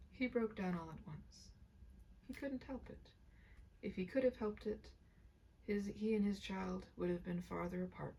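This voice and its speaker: A woman narrating a literary story aloud in a dramatic, expressive way, with hushed soft passages. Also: fairly low pitch, vocal fry, slight background noise, poor audio. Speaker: amateur audiobook narrator.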